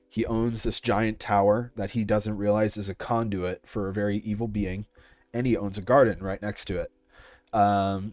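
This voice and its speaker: A man's voice: lowish voice, a little mellow, no echo. A man in his twenties answering interview questions about his creative work in a casual way, thinking aloud as he goes. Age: 20s. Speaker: creator being interviewed about an audio project.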